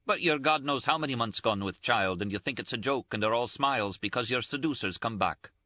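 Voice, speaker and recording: A man voicing a tearful, scolding young Scottish nurse, shrill and sobbing in an audiobook. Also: a little fast, pushed and bright, no echo, muffled recording, natural voice. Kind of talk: audiobook character voice.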